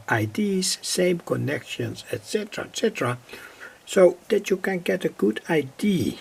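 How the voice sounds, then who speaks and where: Husky, creaky man explaining electronics. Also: fairly high pitch, darkish tone. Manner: halting, explanatory, with frequent pauses and repeated phrasing. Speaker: presenter of hobby radio electronics demos. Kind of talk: unscripted technical demonstration talk.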